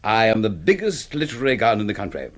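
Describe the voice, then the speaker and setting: A man's voice: lowish voice, big pitch swings, quick pace. An actor playing a bombastic, scornful middle-aged literary man in a radio drama, pompous and florid in delivery. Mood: boastful.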